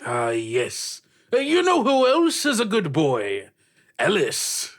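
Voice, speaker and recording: A man with a fairly high, very lively voice hosts as game master. He is theatrical and joking, moving through playful podcast introductions and banter. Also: slow. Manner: jokey, theatrical.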